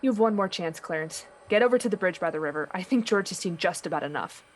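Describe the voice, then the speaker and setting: A woman's voice: lowish voice, animated, fast talker, poor audio. A woman playing a stern, reproving senior angel in an old-time radio play. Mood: brisk, urgent. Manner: firm, reproving. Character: stern, brisk heavenly superior angel. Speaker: actor.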